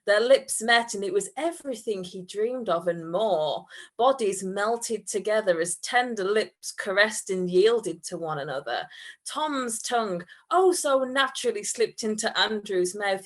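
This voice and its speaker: A woman's voice: medium pitch, expressive, unhurried. A woman reading comic fan fiction aloud in a hammy, giggly narrating manner. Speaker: reader at a comedy fundraiser stream.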